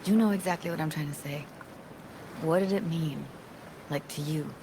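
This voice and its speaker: A woman's voice: deep voice, normal speed, noisy background. A woman playing a guarded but tender young techie in a video game, talking in an intimate, hesitant, slangy way. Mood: earnest, probing. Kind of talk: naturalistic video game acting.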